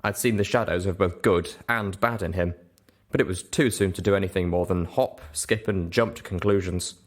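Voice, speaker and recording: Male audiobook narrator, lower-pitched, delivering scripted first-person fiction. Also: moderate pace.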